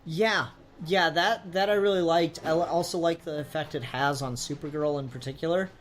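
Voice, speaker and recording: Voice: mid-range voice, fairly even intonation, normal speed, a little hiss or hum. A podcast host in a casual discussion, talking in a rambling, hesitant way. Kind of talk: casual discussion of comics and anime.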